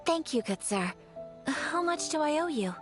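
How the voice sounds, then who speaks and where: A woman playing a refined young noblewoman in a video game, formal, courteous and gently firm. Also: high voice, very animated, moderate pace. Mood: gracious. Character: refined young noblewoman, polite, principled and composed. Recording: faint game music under the voice.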